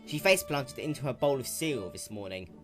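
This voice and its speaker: A woman's voice: rumbling low, animated, brisk, music in the background. A woman acts out a young male dragon in a storybook-style fan reading. The character sounds glum and worried, with a hesitant, defensive, sullen way of talking. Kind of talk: dramatic fan-fiction reading.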